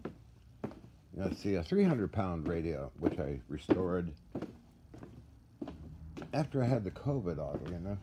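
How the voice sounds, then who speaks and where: A man giving a casual, hands-on walkthrough of equipment in a halting, muttering way with folksy asides, recorded on a handheld device in a workshop. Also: low voice, sing-song. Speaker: radio restorer. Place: indoor cluttered workshop. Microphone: handheld camera or phone, behind the lens. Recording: noisy handheld recording with room ambience.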